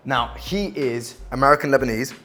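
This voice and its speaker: A young man from the West Indies, chatting casually in a vlog, animated and self-mocking, swearing and saying "like" a lot. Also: mid-range voice, normal speed. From Guyana and the West Indies. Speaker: vlogger and outreach coach. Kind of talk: casual vlog talk to camera.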